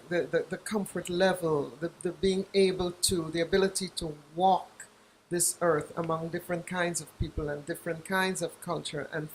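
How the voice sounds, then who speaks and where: A woman's voice: on the low side, slight background noise, poor audio. Reflective older woman from Guyana. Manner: reflective, searches for words. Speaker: author and journalist, guest. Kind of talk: interview.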